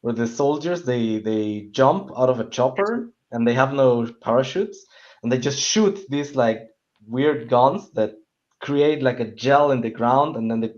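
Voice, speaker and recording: A man chats about his opinions on a panel show, at an ordinary male pitch. He talks haltingly, feeling around for the right word, and comes through on a dull, lo-fi call. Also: darkish tone. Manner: halting, searching for words. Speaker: panellist on a film talk show. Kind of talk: casual opinionated film discussion. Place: online call with screen sharing.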